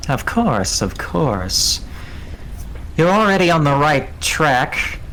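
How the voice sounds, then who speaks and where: A man voicing a courtly, self-assured ratman plague cultist who speaks in formal, measured phrases for a tabletop game. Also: fairly high pitch, sing-song, fairly bright tone, a little hiss or hum, pitched above the performer's own voice, full character voice, low-quality recording. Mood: businesslike, devout.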